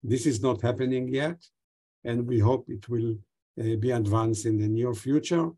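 An older man from Israel gives formal opening remarks on a video call, with hesitant pauses. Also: medium pitch, mellow. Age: older, 60s or above. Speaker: fintech expert giving opening remarks.

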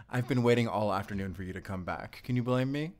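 A man playing an affectionate, playful and flirty love interest in an intimate audio roleplay, with a gently teasing manner. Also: on the low side, lively intonation, fairly quick, lightly acted. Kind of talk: intimate audio roleplay acting addressed to the listener.

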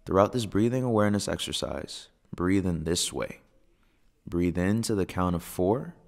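A man guiding a breathing meditation in a soothing, gentle, measured way with pauses between phrases. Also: sits low, vocal fry. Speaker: meditation guide.

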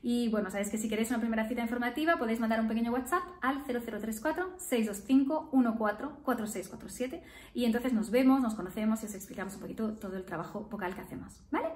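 A woman's voice: on the high side, fast, a little mellow, echoey room. A woman in her thirties, a speech therapist, talking casually to camera with many fillers and tag questions. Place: room with painted geometric wall, indoors. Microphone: camera in front, upper body framing.

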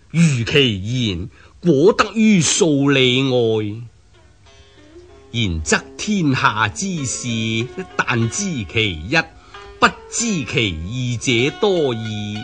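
Very slow, husky male narrator. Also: medium pitch, a bit bright. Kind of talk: slow dramatic recitation of a classical text.

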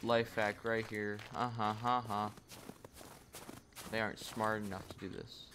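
A man giving live commentary over his own gameplay, talking to himself and shouting at enemies as things happen. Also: lowish voice, unhurried, very noisy. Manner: excitable, talks to himself and at enemies. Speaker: gaming YouTuber.

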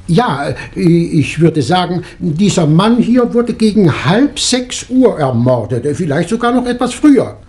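Animated male doctor, excitable, emphatic, vintage crime radio drama. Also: sits high, a little slow, few pauses. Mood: explaining. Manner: emphatic, expressive. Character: self-assured, excitable male village doctor. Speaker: actor in a crime radio play. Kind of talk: 1960s German radio drama acting.

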